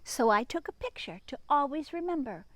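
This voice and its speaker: A woman teacher reading a picture book aloud and chatting to young children in an expressive, sing-song manner, with hushed asides. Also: high voice, fairly quick.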